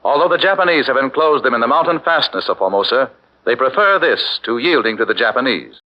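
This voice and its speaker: A man narrating a 1940s radio documentary drama with a measured, declamatory delivery. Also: medium pitch, animated. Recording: muffled 1940s radio broadcast with music.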